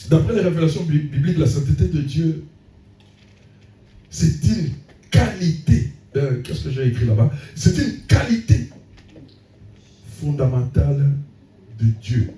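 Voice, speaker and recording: A man's voice: a little high, warm tone, slight background noise. A man preaching a sermon as pastor, punctuating his points with frequent calls of amen. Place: church hall. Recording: echoey church room, voice from a distance.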